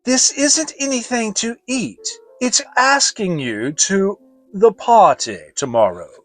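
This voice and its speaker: Storybook owl, man performing: fussy and sulky, loud, emphatic, pitched high. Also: big pitch swings, slow, no echo, soft background music, full character voice. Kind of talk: dramatic children's storybook reading.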